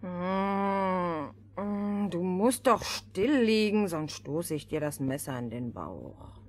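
Casting: wild robber girl, rough-hearted and teasing, lowish voice with lively swings, audio fairy tale. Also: slow pace. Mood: threatening. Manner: bossy, teasing. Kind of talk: radio play acting.

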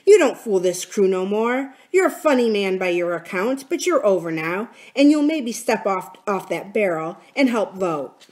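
A woman voicing an accusing, mutinous male pirate crewman in a storybook, with blunt, rough sailor talk. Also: mid-range voice, big pitch swings, moderate pace, darkish tone, natural voice. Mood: dismissive. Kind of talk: dramatic storybook character reading.

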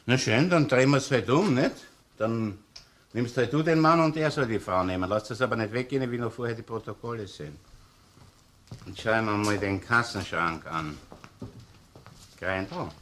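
A middle-aged man with a Viennese accent playing a shrewd, brisk senior police inspector in a radio crime drama, colloquial and gruffly businesslike. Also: medium pitch, a bit bright, natural voice. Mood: commanding. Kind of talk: German-language radio crime drama acting.

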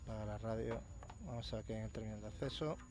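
A man's voice: fairly low pitch, fast. A man with a Castilian Spanish accent doing casual gameplay commentary, sweary and muttering to himself. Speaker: gamer, let's play host. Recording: noisy game sound behind voice. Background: horror game ambience.

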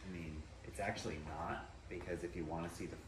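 Relaxed husband, low voice, talking outdoors with echo and clear background noise. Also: male voice, a little fast. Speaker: vlogger's husband. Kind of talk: casual chat. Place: outdoors under a covered patio.

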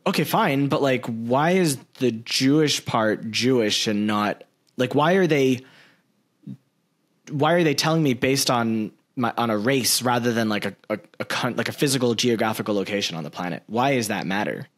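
A man in his thirties hosting a podcast, talking in an animated way into a large studio mic in a small room at home. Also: medium pitch, normal speed. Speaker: podcast host. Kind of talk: casual interview talk. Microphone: large studio mic with headphones.

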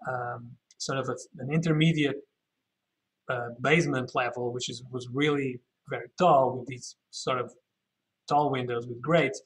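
A man's voice: medium pitch, low-quality recording. A man guiding an informal virtual walking tour, thinking aloud and often correcting himself as he explains. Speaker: architectural tour guide.

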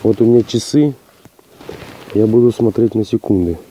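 A man with a hoarse voice from a cold, testing gear outdoors in a plain, matter-of-fact way with hesitant fillers. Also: fairly low pitch, moderate pace, mellow, slight background noise. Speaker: outdoor channel host. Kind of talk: casual outdoor gear test. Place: outdoors on a rocky hillside.

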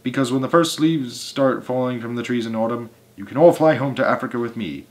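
A man doing a calm, knowing old bird. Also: medium pitch, fairly quick, natural voice.

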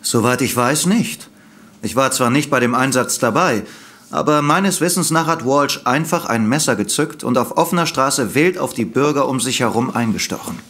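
Man acting an obliging, lively-voiced policeman. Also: medium pitch, normal speed, close to an everyday voice. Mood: matter-of-fact. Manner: helpful, factual, discreet. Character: obliging, matter-of-fact male police officer. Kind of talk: German audio drama acting.

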